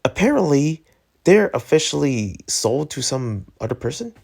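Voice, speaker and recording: A man hosting a commentary show, giving off-the-cuff opinions in an informal, slangy, halting way. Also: a little high, sing-song, vocal fry, a little mellow. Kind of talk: casual rambling opinion commentary.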